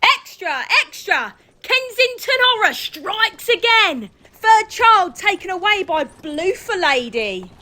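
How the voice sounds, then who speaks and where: Cheeky young paper seller, period drama. Piercing, sing-song swoops, brash. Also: female voice, very high voice, big pitch swings, takes it slowly, few pauses, full character voice. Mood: hawking loudly. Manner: loud street-crier, brash. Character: cheeky young Victorian street newspaper seller. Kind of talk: audio period drama acting.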